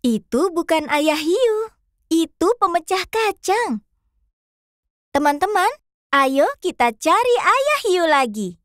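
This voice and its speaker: A sing-song cartoon fox guide. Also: female voice, very high voice, very animated, takes it slowly, long pauses, fairly bright tone, no room sound, heavily acted. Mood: cheerful. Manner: sing-song, coaxing, addresses the kids. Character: bright cheerful pink fox guide. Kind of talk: children's cartoon voice acting.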